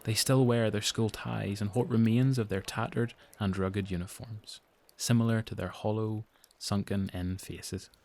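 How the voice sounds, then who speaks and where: A man's voice: lowish voice, steady tone, measured pace, hardly any pauses, a little mellow. A man with a Northern Irish accent giving scripted, dramatic narration for an audio drama. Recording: noticeable background noise.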